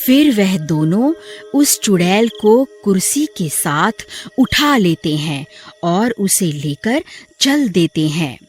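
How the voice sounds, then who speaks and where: A woman's voice: mid-range voice, very animated, slow. A woman narrating a scripted horror story. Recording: music behind the voice.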